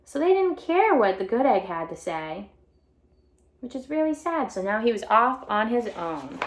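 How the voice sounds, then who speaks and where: A woman's voice: a little high, very animated, moderate pace. Woman explaining a picture book to children. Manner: simple, repetitive explanatory phrasing. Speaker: read-aloud teacher or storyteller.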